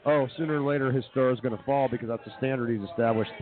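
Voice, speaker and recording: A man's voice: mid-range voice, steady tone, very fast. A man hosting a live sports talk radio show with running commentary from a brewery. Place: brewery venue, live broadcast. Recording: thin phone-like sound with crowd chatter.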